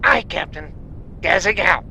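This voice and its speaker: Man as a gravelly, dutiful space lieutenant. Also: high voice, animated, unhurried, bright, forceful tone, slight background noise, exaggerated voice, rough recording. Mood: brisk, dutiful. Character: dutiful, brisk male starship lieutenant reporting in. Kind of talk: science fiction audio drama acting.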